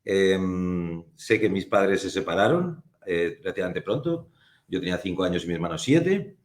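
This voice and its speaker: A man's voice: deep voice, very animated, reverberant. A man from Spain, a film producer, talking reflectively and unhurriedly as a guest in a casual interview. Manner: thoughtful, reflective, unhurried with frequent pauses.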